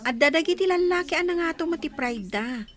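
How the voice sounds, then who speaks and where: High, animated confidante. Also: female voice, a little fast. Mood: cautioning. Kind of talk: radio drama acting.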